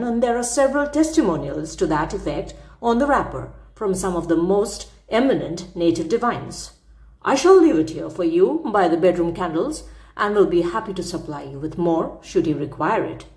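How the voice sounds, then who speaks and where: Lady reading as a calm practical american gent, super polite and formal, storybook style, roomy echo. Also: mid-range voice, moderate pace, close to an everyday voice. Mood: politely insistent. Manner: courteous, formal, matter-of-fact. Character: practical, unflappable middle-aged American gentleman, politely insistent. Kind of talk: storybook character voice in read-aloud. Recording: roomy, echoing sound.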